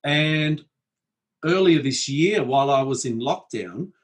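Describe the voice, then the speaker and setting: A man hosting a casual podcast conversation from his desk at home, talking in a reflective, measured way with frequent ums. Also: mid-range voice, lively intonation. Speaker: podcast host. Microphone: desk setup at home.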